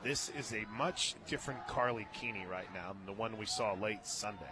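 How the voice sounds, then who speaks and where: An American man giving live radio play-by-play of a softball game, conversational, with clipped calls of each play. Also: fairly low pitch, moderate pace. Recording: very noisy outdoor ballpark booth.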